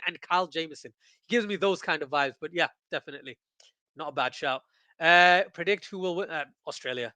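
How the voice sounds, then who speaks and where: Male livestream cricket host from Pakistan, high and bright voice, close dry sound, excitable casual chat. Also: no room sound. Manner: excitable, repeats phrases for emphasis. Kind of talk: casual watchalong chat, reading viewer comments aloud.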